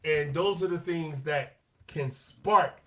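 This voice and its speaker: Lively, higher-voiced male podcast co-host. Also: slow. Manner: animated, quotes players in their own voices; slangy, repeats points for emphasis. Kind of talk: casual sports chat.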